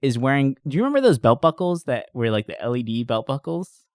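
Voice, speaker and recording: A man hosting a podcast in casual chat, talking in an informal, joking, animated way. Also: medium pitch, quick pace, clear, resonant tone, no echo, clean studio sound. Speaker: podcast host. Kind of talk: casual chat about movies.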